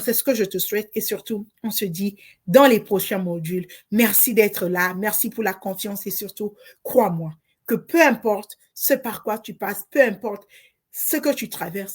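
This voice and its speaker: A woman's voice: medium pitch, breathy edge. A woman from Congo, an online business coach, giving a motivational course introduction with emphatic, repetitive, preacher-like encouragement.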